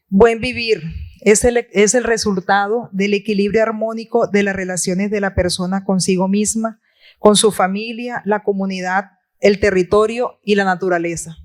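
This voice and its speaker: A woman from La Guajira whose first language is Wayuunaiki, giving a measured, formal presentation on a conference panel. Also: mid-range voice. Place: conference hall.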